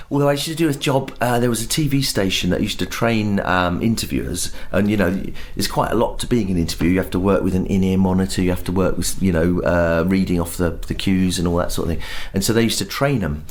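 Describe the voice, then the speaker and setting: A man in his fifties, a pantomime performer, chatting casually backstage and slipping into silly voices now and then. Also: deep voice. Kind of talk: casual backstage interview chat. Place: backstage at a theatre.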